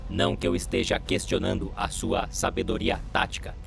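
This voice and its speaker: A man playing a hesitant, deferential military logistics aide with a gravelly voice in a sci-fi audio drama. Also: low voice, little pitch movement. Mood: cautious, deferential. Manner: halting, deferential, formal. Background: music.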